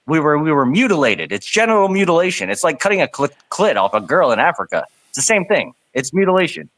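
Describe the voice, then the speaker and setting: Animated man ranting. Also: a little high, fast, no echo. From Canada. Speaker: voice chat participant. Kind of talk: casual group voice chat.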